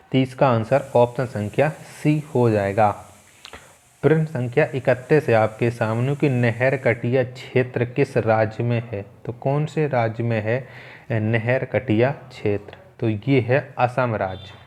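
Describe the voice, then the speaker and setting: A man's voice: medium pitch, a little mellow. A man teaching an exam quiz lesson, methodically reading out questions and repeating key answers.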